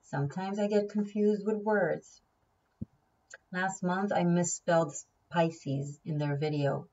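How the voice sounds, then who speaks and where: A woman's voice: on the low side, fairly even intonation, takes it slowly, dark tone, far from the mic, highs cut off. A woman whose first language is Hebrew, giving a casual tarot reading, chatty and thinking aloud, often repeating key words. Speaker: tarot reader.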